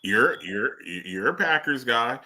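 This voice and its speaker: A man hosting a casual sports talk show, arguing about players in an animated way, with lots of restarts and pointed questions. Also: mid-range voice, slow.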